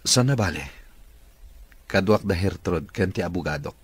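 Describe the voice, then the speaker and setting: A man's voice: deep voice, lively intonation, normal speed, breathy edge, no echo. An actor playing a firm, commanding male boss in an Ilocano radio drama, speaking with an Ilocano accent. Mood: stern, determined.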